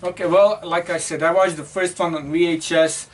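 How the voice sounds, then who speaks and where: Man, high voice, rambling, filler-heavy, casual movie chat, distant mic. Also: fairly even intonation, moderate pace. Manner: rambling, many fillers and restarts. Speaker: co-host.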